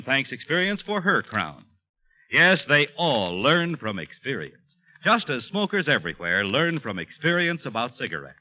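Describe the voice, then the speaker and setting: Lively American male radio pitchman. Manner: polished, emphatic salesmanship. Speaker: commercial announcer. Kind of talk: 1940s radio advertisement narration. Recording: dull old 1940s radio broadcast.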